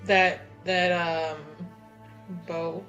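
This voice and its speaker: A woman's voice: lowish voice, level tone, very slow, a bit bright, dull audio, soft background music. A woman playing a tabletop game, talking casually in a wry way with some swearing. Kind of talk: casual, animated game chat.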